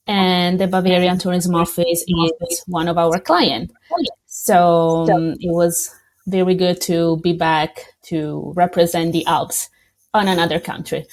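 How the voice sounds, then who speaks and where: A woman from Italy with an Italian accent, answering interview questions as a tourism representative in a measured, explanatory way. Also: on the low side, flat delivery, darkish tone, low-quality recording. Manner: explanatory, measured, with small slips.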